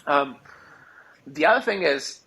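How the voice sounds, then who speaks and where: A man's voice: on the high side, animated, measured pace, gravelly, pushed and bright, a little hiss or hum, rough recording. A man from Argentina, answering interview questions conversationally, searching for words as he explains. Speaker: startup executive, interview guest.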